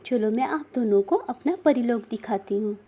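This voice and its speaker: Casting: woman as generous female fairy for cartoon, mellow, gracious. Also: fairly high pitch, moderate pace, husky, pitched below the performer's own voice.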